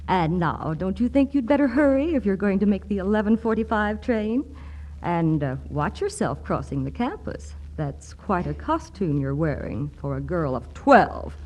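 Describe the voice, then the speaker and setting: An actress as a catty schemer, swooping delivery. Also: female voice, medium pitch, expressive, moderate pace, a little mellow, natural voice, rough recording. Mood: coolly threatening. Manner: sarcastic, pointed delivery. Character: scheming jealous young woman, smugly threatening, catty. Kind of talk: 1940s radio comedy acting.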